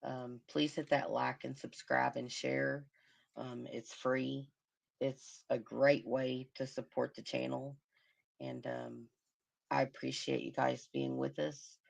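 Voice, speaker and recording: A woman hosting a casual livestream, commenting on a crime case in a hoarse voice as she loses it and humming to herself. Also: extremely low pitch, little pitch movement, takes it slowly, pauses often. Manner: murmuring, sympathetic, hums to herself. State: hoarse, losing her voice. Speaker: livestream host.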